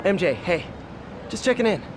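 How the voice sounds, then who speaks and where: An actor playing a friendly, earnest young American superhero in a video game, talking in a casual, slightly awkward way. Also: male voice, high voice, animated, moderate pace, heavy background noise. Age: young adult. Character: earnest, friendly young male superhero juggling everyday life.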